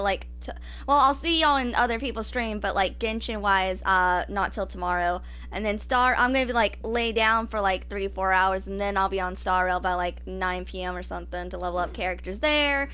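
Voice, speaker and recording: A woman's voice: mid-range voice, fairly quick, sharp tone, smooth. A woman in her twenties chatting casually as she live-streams a game, thinking aloud in an informal way. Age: 20s. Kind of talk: casual live gameplay chat.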